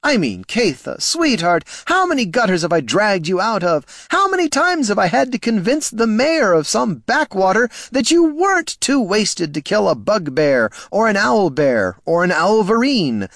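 A man voicing a heavy older male elf agent who is pushy and exasperated, talking fast and fussily. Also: high voice, very animated, fairly bright tone, no room sound, studio quality, voice pushed up, exaggerated voice. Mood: scolding. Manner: fast, fussy, scolding.

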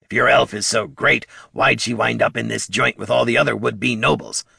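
A man voicing a small, scrappy, plain-spoken kobold in an audiobook, with a gruff, colloquial way of talking. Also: mid-range voice, steady tone, normal speed, sharp tone, no room sound, studio quality, voice pushed up, exaggerated voice. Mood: irritated. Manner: colloquial, gruff, growling when riled. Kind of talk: audiobook character voice.

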